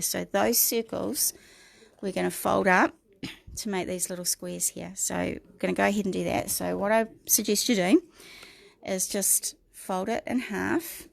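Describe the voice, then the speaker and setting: New Zealand woman, middle-aged, croaky gravelly voice, chatty step-by-step craft instructions, dry close sound. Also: medium pitch, no room sound. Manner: chatty step-by-step instructions, greets viewers by name. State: croaky, clearing her throat. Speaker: craft tutorial host. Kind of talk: livestream crafting tutorial.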